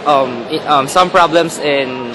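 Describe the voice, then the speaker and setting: A man asking oral history interview questions in a hesitant, halting way with many ums. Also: a little high. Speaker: student interviewer. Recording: noisy background.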